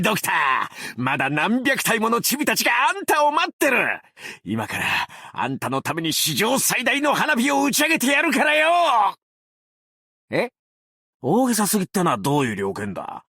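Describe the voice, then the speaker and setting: A man playing a brash, cocky explosives fanatic with a tail in an anime game, talking in rough, swaggering slang with a gravelly voice. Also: high-pitched, sharp tone, no room sound, studio quality, full character voice. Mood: teasing, swaggering. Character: brash tailed male explosives fanatic, cocky bureau officer. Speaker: game character voice lines. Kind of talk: Japanese anime game voice acting.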